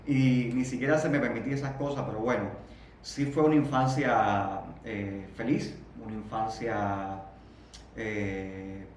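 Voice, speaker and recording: A Cuban man in his thirties is heard from some distance in a very echoey room, with faint noise in the background. His pitch sits in the middle for a man. He speaks in a halting, reflective way as an interview guest, often repeating words before moving on. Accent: Cuban Spanish. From Cuba. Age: 30s.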